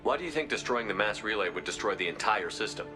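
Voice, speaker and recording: Man acting a fast, clipped military commander, music behind. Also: fairly low pitch, lively intonation, lightly acted. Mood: probing, skeptical. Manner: clipped, blunt questioning. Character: terse, commanding male military commander in space. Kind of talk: video game cutscene acting. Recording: music under the dialogue.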